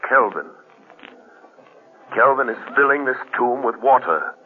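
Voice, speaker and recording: Male actor as a stern, commanding vigilante hero for a vintage radio drama, mid-pitched voice, tinny scratchy broadcast sound. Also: expressive, a little slow, lightly acted. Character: grim, commanding male crime-fighting vigilante. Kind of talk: 1940s radio acting.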